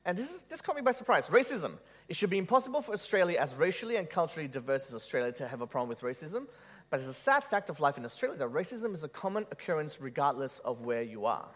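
A man who grew up in Malaysia delivers a sermon in a church hall, speaking in a fairly high voice. His pitch swings widely as he jokes and throws questions at the congregation. The tone stays conversational rather than formal. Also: very animated, quick pace, fairly bright tone. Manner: conversational, joking, asks the audience questions. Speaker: preacher. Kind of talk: sermon with audience interaction.

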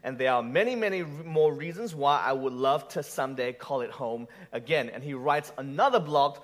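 A man's voice: medium pitch, animated, fairly quick. A man who grew up in Malaysia, preaching a conversational sermon to a live audience, joking and putting questions to the room.

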